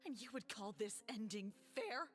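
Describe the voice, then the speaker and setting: A woman's voice: on the high side, animated, moderate pace, a bit bright, breathy edge, faint music behind. An actress playing a bitter, grieving young woman bent on vengeance, speaking with dramatic intensity in a video game. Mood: anguished, accusing. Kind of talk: scripted video game voice acting.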